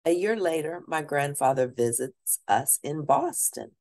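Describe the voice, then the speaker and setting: A woman with a very deep, low-set voice reads a picture book aloud to young listeners. She takes her time over each line, and her pitch rises and falls in a lively, animated way. The sound is close and dry, with no room echo. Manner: slow, deliberate read-aloud pacing with questions to listeners. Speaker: teacher.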